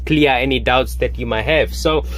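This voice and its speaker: A man, a Hindi speaker, telling his story to camera in a halting way with many fillers. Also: mid-range voice, fairly bright tone, a little hiss or hum. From Fiji. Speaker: online business promoter. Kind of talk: casual monologue to camera.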